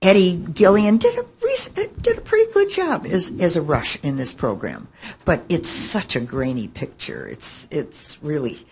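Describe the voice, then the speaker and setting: An older woman recalling things informally on a panel, halting as she searches for her words. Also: fairly low pitch, wildly swooping pitch, darkish tone. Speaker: panelist, fan and researcher of an old radio show. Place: indoor hotel conference room. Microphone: table microphone on stand. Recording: noisy hotel meeting room.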